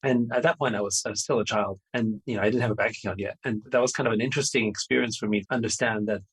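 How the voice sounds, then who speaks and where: A man's voice: lowish voice, fairly even intonation, very fast, breathy edge. A middle-aged man from Austria, answering interview questions in a rambling, conversational way with many fillers and restarts. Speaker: podcast guest.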